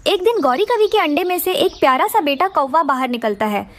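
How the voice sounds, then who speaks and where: High-voiced woman narrating a moral story. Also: animated, fairly quick.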